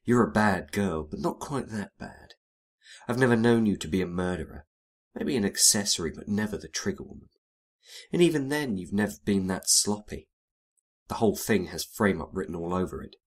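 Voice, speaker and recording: A man playing a wry, hardboiled 1920s private detective, narrating in a dry, world-weary deadpan. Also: fairly low pitch, normal speed. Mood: frank, dry. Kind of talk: first-person noir detective narration and dialogue.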